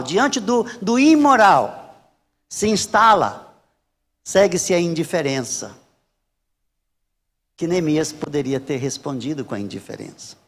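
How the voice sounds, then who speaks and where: A Brazilian man preaching a sermon as a Baptist pastor, emphatic and repetitive, with deliberate pauses. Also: high-pitched, fairly bright tone, long reverb tail. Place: large church hall during a service.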